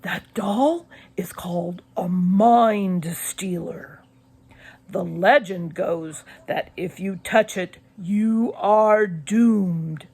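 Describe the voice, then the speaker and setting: A woman voicing a showman-like father who relishes creepy tales, telling them in a dramatic, drawn-out way in a children's audiobook. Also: medium pitch, darkish tone, breathy edge, heavily acted. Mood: ominous, relishing.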